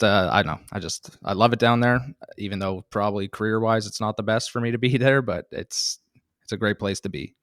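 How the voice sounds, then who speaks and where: A man's voice: lowish voice, fairly bright tone, dry recording. Laid-back joking Canadian man, dry mic. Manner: laid-back, joking, laughs often, many fillers. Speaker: podcast guest, stand-up comedian. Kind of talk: casual chat.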